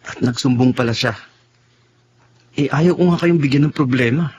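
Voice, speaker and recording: A man's voice: medium pitch, normal speed, a little mellow, slightly rough, dull audio. An actor playing a defensive young businessman son in a Tagalog radio drama. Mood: defensive, annoyed.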